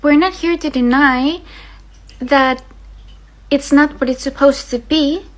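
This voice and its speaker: A woman's voice: high-pitched, slow pace. A young woman from Finland, speaking earnestly and with passion to her viewers, with frequent fillers. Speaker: vlogger. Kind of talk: earnest talk to camera.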